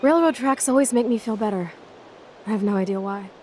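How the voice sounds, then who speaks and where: A woman's voice: medium pitch, brisk, a little mellow, close to an everyday voice. A young woman played by an actress as a brash video game punk, sarcastic and fiercely loyal. Her talk is blunt and slangy in a natural mid-range voice, over faint background noise. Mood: candid, wry. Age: young adult.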